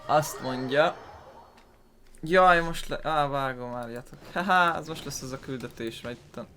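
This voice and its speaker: Hungarian guy doing a chatty let's play, rambles, medium pitch, noisy game ambience behind. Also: normal speed, sharp tone. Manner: rambling, thinking aloud. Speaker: gaming YouTuber. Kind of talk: casual let's play commentary.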